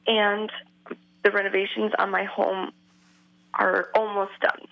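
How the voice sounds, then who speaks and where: A woman in her thirties from Wisconsin, calling in to a radio show over the phone, hesitant with many pauses and ums. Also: medium pitch, little pitch movement, vocal fry, no room sound. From Wisconsin, United States. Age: 30s. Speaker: caller. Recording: lo-fi phone line.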